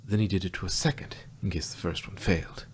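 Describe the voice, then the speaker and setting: Man voicing a low, solemn pony ruler. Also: fairly even intonation, a little hiss or hum, close to an everyday voice. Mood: solemn storytelling. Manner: measured, confessional storytelling. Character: solemn, ancient female pony ruler, confiding secrets. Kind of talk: amateur fan-fiction character reading.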